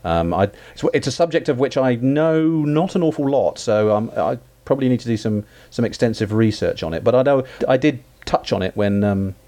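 A man's voice: mid-range voice, animated, fairly quick, few pauses, dark tone. A British man, a history podcaster, chatting as a guest over an internet call, full of restarts and fillers. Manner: hesitant, many restarts and fillers. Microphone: remote call audio.

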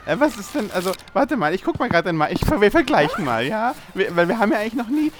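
Man playing a high-voiced, excitable nerdy schoolboy. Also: fast talker, background noise. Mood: eager, awkward. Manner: hesitant, stammering, rambling. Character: timid, nerdy Pokémon-mad schoolboy, eager to please. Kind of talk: improvised comedy audio play.

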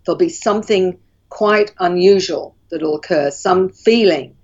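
A woman guest in an interview who explains at length in a measured way, with frequent ums. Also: lowish voice, darkish tone, dull audio.

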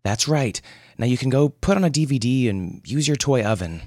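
Sharp, exasperated young mother, voiced by a man. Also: mid-range voice, lively intonation, normal speed, voice pushed up, lightly acted. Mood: stern. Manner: raised, sharp, clipped.

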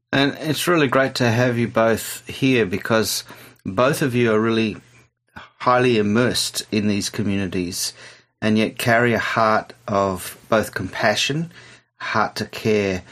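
A man's voice: fairly low pitch, slow, a bit bright. A man hosting a faith-based discussion, speaking hesitantly with many fillers and restarts. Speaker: host.

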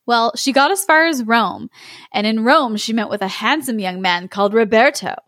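A woman voicing a sly, melodramatic male cat in a storybook read-aloud, mournful and drawn-out in manner. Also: fairly high pitch, fairly bright tone, no echo, studio quality, lightly acted. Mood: wistful storytelling.

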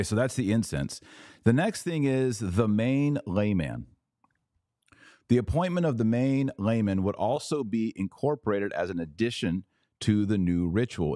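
A man's voice: fairly low pitch, warm tone, no echo, studio quality. A man hosting a podcast, lecturing with emphasis and repeating his points for stress. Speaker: podcast host. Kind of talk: podcast commentary on church liturgy.

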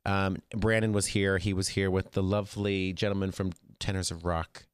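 A hoarse man hosting a studio talk show, chatting casually with a guest in a chatty, self-deprecating way. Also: low-pitched, flat delivery, moderate pace, no room sound. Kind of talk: casual chat.

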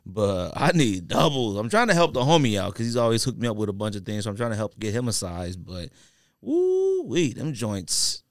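A man co-hosting a podcast in casual, slangy, expressive banter. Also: on the low side, normal speed, dry recording, clean studio sound. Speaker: podcast co-host.

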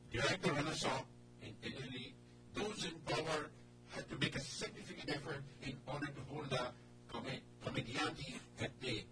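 A man reading out a written message in a declamatory, measured way. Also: mid-range voice. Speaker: guest reader. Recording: loud music behind, distant mic.

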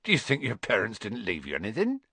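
A man voicing a gruff, good-natured giant from a storybook, proud and loyal, with folksy clipped speech. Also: on the low side, wildly swooping pitch, fast talker, sharp tone, no echo, heavily acted. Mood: reassuring, proud. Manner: folksy, clipped casual speech, grunting and sleepy at first.

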